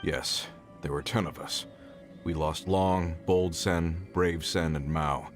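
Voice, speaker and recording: Gruff older foreman for a game: deep, terse, grave, worn down but dutiful. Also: steady tone, slow, soft background music. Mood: somber. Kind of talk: video game voice acting.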